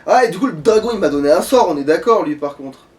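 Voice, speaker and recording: Guy with a pretty high voice doing a lets play, swears a lot, sits back from the mic. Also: expressive, quick pace, darkish tone, far from the mic. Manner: sweary, slangy. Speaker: let's player playing on camera. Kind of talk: casual gaming commentary.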